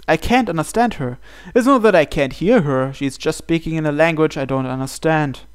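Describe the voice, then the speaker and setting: Higher-pitched man reading a story aloud. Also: normal speed, smooth. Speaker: gaming video host. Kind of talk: reading visual novel narration aloud. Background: soft game music.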